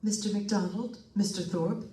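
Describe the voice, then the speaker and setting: A woman playing a polite, businesslike hospital attendant in an old-time radio drama, formal and measured. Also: mid-range voice, steady tone, mellow, lightly acted. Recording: echoey room, far from mic.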